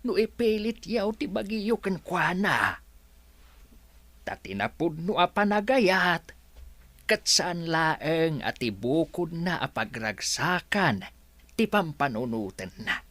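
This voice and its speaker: Male actor as older Ilocano worker, halting and slow, lively pitch swings, deferential, stammering, radio drama. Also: dry recording, heavily acted. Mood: nervous, pleading.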